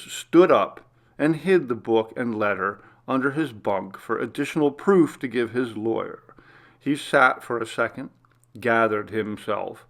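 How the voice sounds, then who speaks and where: A man reading a scripted ghost story as narrator, in a deliberate, dramatic manner. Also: mid-range voice, creaky, a bit bright.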